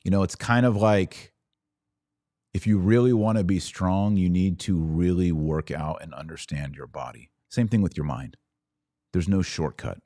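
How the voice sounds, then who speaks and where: A middle-aged American man with a very low voice speaks as a podcast host. He delivers an opinionated monologue in short, punchy, emphatic phrases. The sound is dry, with no room echo. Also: normal speed, dark tone.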